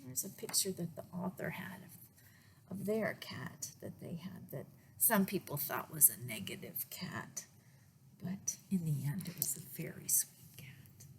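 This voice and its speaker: A woman reading picture books aloud to children as a librarian, in a deliberate way with pauses and little asides to the listeners. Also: fairly low pitch, expressive, in a whisper. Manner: slow, deliberate phrasing with pauses. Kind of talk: read-aloud storytime with asides to young listeners.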